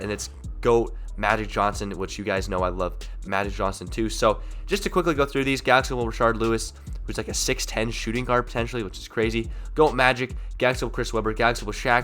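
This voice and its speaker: A young man with a slightly low voice runs through gaming market news at a rapid clip, rambling and upbeat. He is sick and his voice sounds off, yet the tone stays bright and forceful. Faint music plays behind him. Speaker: gaming channel host. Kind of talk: casual gaming market commentary.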